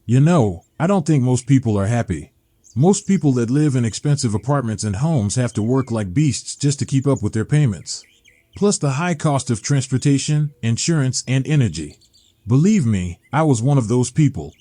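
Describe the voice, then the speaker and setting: A man's voice: mid-range voice, lively intonation, moderate pace, dark tone, no echo, close to an everyday voice. A man playing an agitated, embittered homeless middle-aged ex-teacher, blunt, folksy and profane, in a dramatized comic. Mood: bitter ranting.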